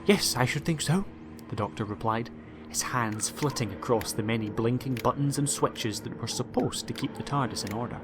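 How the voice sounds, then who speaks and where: A man's voice: medium pitch, fairly even intonation, brisk, background noise. A man narrating a scripted audiobook story in a dramatic storytelling style. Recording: music behind the voice.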